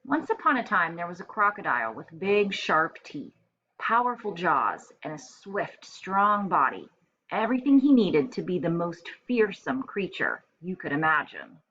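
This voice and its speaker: A woman's voice: lowish voice, big pitch swings, normal speed, fairly bright tone. A woman teacher reading a storybook aloud to first graders, expressively and with simple explanations for young listeners. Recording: dull lo-fi home recording with faint noise.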